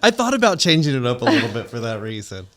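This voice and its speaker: Host, animated pitch, quick to laugh, short prompts and "mm-hmm"s. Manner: warm, quick to laugh, brief backchannels. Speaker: podcast host, interviewer. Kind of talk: casual interview.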